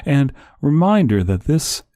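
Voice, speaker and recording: A man playing an earnest, hesitant host of a parody Italian-heritage podcast, speaking haltingly with many uhs. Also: fairly high pitch, sing-song, mellow, no echo, studio quality. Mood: halting, deadpan.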